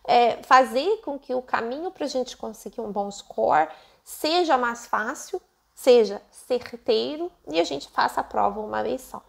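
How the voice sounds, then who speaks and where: A Brazilian Portuguese woman teaching English test prep at home into her own microphone, lively and full of rhetorical questions. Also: high-pitched, vocal fry. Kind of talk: casual teaching monologue to camera.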